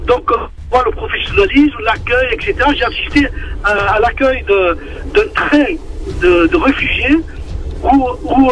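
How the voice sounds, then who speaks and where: High-pitched man with a southern French accent. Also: fairly bright tone. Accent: southern French, Marseille-like. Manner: earnest, halting, many restarts. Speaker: caller. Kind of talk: phone-in opinion on air. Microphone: telephone. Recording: muffled phone line with faint noise.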